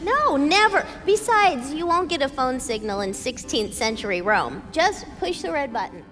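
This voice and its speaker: Breezy high-voiced young woman. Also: sing-song, moderate pace, a bit bright, in a large hall, faint music behind. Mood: breezy, assured. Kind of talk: audio drama acting.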